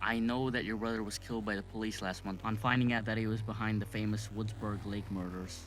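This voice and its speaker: A man acting an earnest autopsy doctor in an amateur audio drama, talking in a deliberate, conversational way. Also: lowish voice, steady tone, a bit bright, lightly acted, rough recording. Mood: matter-of-fact, sympathetic. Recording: background noise.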